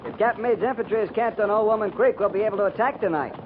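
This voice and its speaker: Man as high-voiced, earnest cavalry lieutenant. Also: racing, narrow, tinny audio, lightly acted. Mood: eager, respectful. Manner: respectful, military, earnest. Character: earnest, dutiful young cavalry lieutenant, eager. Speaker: radio drama actor. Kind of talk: 1950s radio western acting. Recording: noisy, thin 1950s radio recording.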